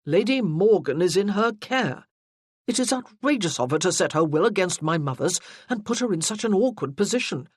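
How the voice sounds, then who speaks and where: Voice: mid-range voice, expressive, moderate pace, no room sound, studio quality, close to an everyday voice. Narrator doing an irritated young lord. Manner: weary, peevish. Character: young nobleman, weary and irritated.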